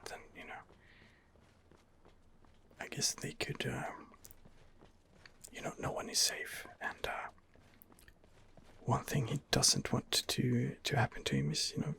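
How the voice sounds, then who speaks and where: A man's voice: mid-range voice, big pitch swings, a little slow, vocal fry, pauses often, bright, forceful tone. A man giving whispered ASMR commentary on a game as its host, in a hesitant, rambling manner. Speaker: gaming video host.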